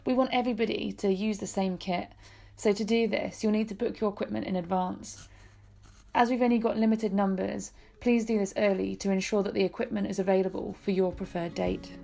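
A woman with a gravelly, creaky voice reads a scripted voice-over. She gives slow, careful directions one step at a time. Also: medium pitch, fairly even intonation, warm tone, soft background music. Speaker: project presenter.

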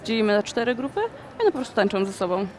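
A young woman, a dance choreographer, answering interview questions in a conversational way with frequent pauses, recorded in a shopping mall. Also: medium pitch.

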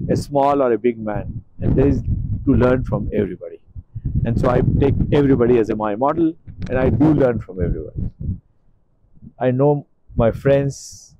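Earnest older man, mellow dry voice. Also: medium pitch, no room sound, highs cut off. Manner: earnest, emphatic, repeats himself for emphasis. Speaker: interview guest. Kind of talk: outdoor walking interview. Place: stone courtyard outdoors.

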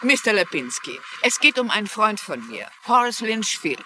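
A woman's voice: medium pitch, very animated, moderate pace, pushed and bright, slight background noise. An actress playing an older woman crime novelist and sleuth in a dubbed TV mystery, polite but firm and full of questions. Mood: polite, probing. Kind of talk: dubbed TV crime drama acting.

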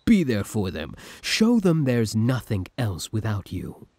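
A man acts out a possessive villain from the future in an intimate audio roleplay. He speaks slowly and deliberately in a lowish, husky voice, commanding yet lovesick, recorded close and dry. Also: huge pitch swings, mellow, no echo, heavily acted. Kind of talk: scripted ASMR roleplay acting.

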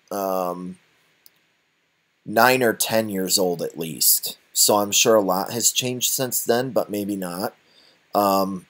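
A man presenting an unscripted software tutorial, speaking haltingly with frequent uhs as he walks through each step. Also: fairly low pitch. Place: voice-over for a screen recording.

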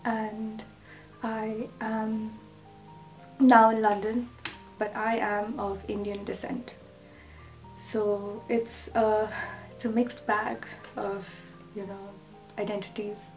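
A woman in her twenties who grew up in Oman, answering in a halting, thoughtful way. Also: medium pitch, flat delivery, distant mic, faint music behind, low-quality recording. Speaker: interviewee. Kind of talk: reflective interview answers. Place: indoor room by a window.

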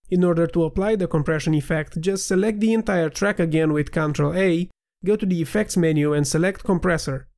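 A man with a slightly nasal voice, giving a steady, clear scripted voiceover for a software tutorial. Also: a little high. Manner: clear, steady instructional delivery. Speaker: tutorial narrator.

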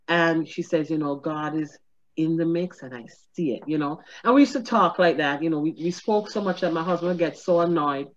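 A middle-aged woman, a comedian, telling stories in an animated interview over a webcam from her home, laughing often. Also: sits low. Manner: expressive, gestures a lot, laughs often. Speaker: comedian and guest. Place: home room with ceiling fan. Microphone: video call webcam. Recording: dull lo-fi video call audio.

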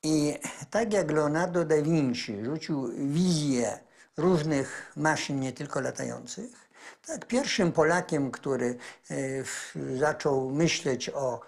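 An older man speaks slowly in an interview, his voice gravelly with vocal fry. He pauses thoughtfully between phrases, filled with small hesitations. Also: a little high. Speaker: interviewed expert. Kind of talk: interview answer. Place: indoor office.

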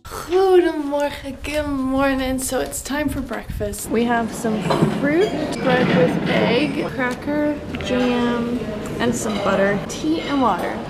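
Young dutch girl vlogging on her phone, high voice, takes her time, music playing underneath. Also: takes it slowly. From Netherlands. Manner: chatty, cheerful, mixes Dutch words in. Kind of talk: casual travel vlog. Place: inside a car. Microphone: phone camera held at arm's length.

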